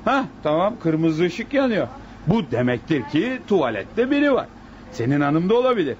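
A chatty, folksy bus attendant. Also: male voice, a little high, big pitch swings, moderate pace. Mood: helpful. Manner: folksy, laughing, calls everyone brother. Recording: muffled old radio recording with hiss.

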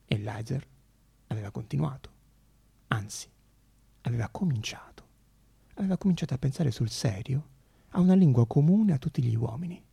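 Un uomo che racconta una storia in forma di monologo teatrale, in modo sommesso e misurato, con pause drammatiche. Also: male voice, mid-range voice, expressive, creaky, dark tone, husky. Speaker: actor-storyteller. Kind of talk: theatrical narration, dramatic monologue.

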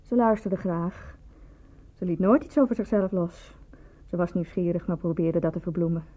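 A woman's voice: on the low side, fairly even intonation, normal speed, dark tone, natural voice. An actress in a radio play voicing a lovestruck, self-reproaching provincial woman, speaking in a reflective, confessional manner. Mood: wistful. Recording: thin, phone-like old radio recording.

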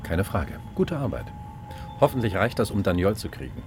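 An actor playing a smug, calculating official, condescending and wry, in a radio crime drama. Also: male voice, lowish voice, very animated, normal speed, music in the background. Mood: calculating, self-satisfied. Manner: smooth-talking, condescending, wry. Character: smug, calculating male official, cool and ambitious.

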